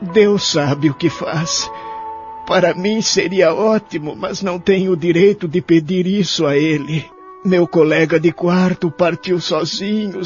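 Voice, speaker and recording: A male actor plays a lonely, elderly care-home resident in an audio drama, looking back with sorrow and regret. His voice sits fairly high for a man and is plaintive and reflective. The recording is a bit dull, with music underneath. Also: natural voice. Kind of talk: audio drama narration and dialogue.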